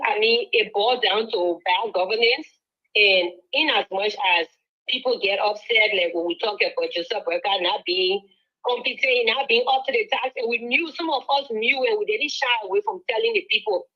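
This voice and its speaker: A Liberian woman calling in to a talk show, arguing politics with rising passion. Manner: impassioned, rising pitch when pressing points. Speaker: caller. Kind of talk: call-in political commentary. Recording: phone line audio.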